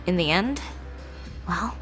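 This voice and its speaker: A woman playing a proud, composed young fashion designer in a video game, speaking in a measured, self-assured way. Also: lowish voice, animated, a bit bright, soft background music. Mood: proud, reflective.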